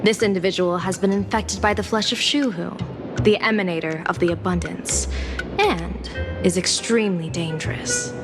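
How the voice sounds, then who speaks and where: A woman playing an enigmatic, playful female general and fortune-teller in a video game, speaking formally in measured, theatrical phrases. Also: on the low side, natural voice. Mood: grave. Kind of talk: scripted video game voice acting. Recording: loud music behind the voice. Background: game music.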